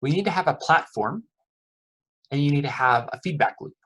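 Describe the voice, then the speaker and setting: A man's voice: a little high, animated, a little fast, a bit bright. A middle-aged man, an economics professor, giving a casual lecture to students, friendly and chatty with lots of okays and uhs. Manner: friendly, quizzes the audience, many fillers like uh and okay.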